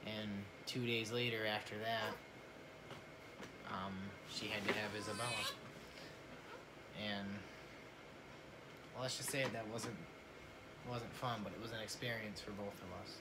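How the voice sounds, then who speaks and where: A man's voice: on the low side, steady tone, a little slow, long pauses. A young man casually telling a personal story to camera in a conversational way, often repeating his words as he goes. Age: young adult. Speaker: family vlogger. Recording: noisy home recording.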